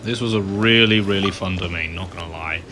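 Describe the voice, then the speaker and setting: A man's voice: deep voice, brisk, pushed and bright, a little hiss or hum. A man giving casual, offhand commentary during a video game playthrough. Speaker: gameplay video creator.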